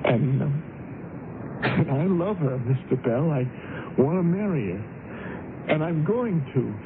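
A man's voice: on the high side, animated, takes it slowly. An actor playing an earnest, bashful young man who stammers and hesitates, in radio drama style. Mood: breathless, lovestruck. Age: young adult. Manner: hesitant, stammering, repeats words. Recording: tinny, noisy old radio broadcast recording.

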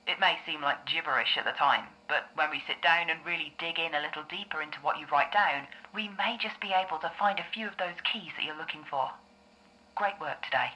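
A woman playing a calm, reassuring therapist in an audio drama, speaking in a measured, explanatory way. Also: fairly low pitch, low-quality recording. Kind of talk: scripted audio drama dialogue.

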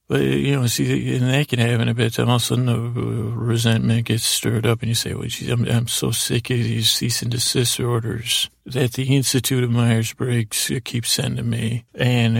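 A man with a gravelly, creaky voice improvising a bedtime story in a mild, friendly, meandering way, halting and circling back as he goes. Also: mid-range voice, no room sound, studio quality. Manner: meandering, halting, repeats and trails off; mild, friendly, absurdly digressive. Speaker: podcast host telling bedtime stories.

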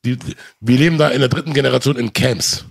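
Gravelly raspy man, impassioned. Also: mid-range voice, quick pace. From Germany. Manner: impassioned, addresses listener as brother. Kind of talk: casual chat.

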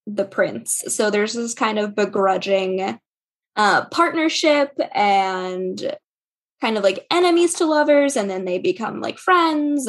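Woman chatting casually, mid-pitched voice. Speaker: podcast co-host recommending books. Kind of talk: casual chat.

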